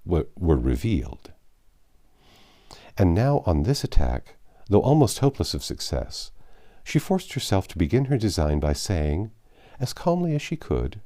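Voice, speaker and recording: A man reads a classic novel aloud as its narrator, in a deep, dark voice. His delivery is measured and expressive, and his pitch moves about a lot from phrase to phrase.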